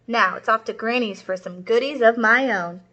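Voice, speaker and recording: A woman voicing a mean, hungry male monster truck who talks gruff and boastful in a read-aloud storybook. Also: a little high, normal speed, pushed and bright. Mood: gloating. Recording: muffled lo-fi recording.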